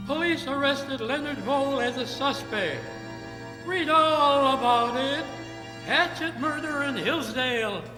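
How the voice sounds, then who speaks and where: A man's voice: very high voice, a little slow, over music. A male actor playing a newsboy calling out on the street, in an echoey old radio drama. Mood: urgent hawking. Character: shouting street newsboy hawking papers. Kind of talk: 1940s radio drama acting.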